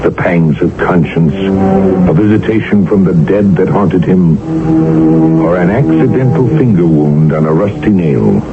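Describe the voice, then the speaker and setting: A firm, composed physician in an old radio drama, his voice low with a lively pitch. He narrates in a controlled, reflective way. The broadcast sound is thin, with loud music underneath. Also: a little slow, phone-quality sound. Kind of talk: 1970s radio drama acting.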